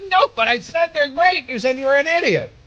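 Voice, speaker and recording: A man's voice: extremely high pitch, lively intonation, a little fast, bright, forceful tone. An American man in blunt, scornful, profane banter, bickering casually on a dull, noisy recording.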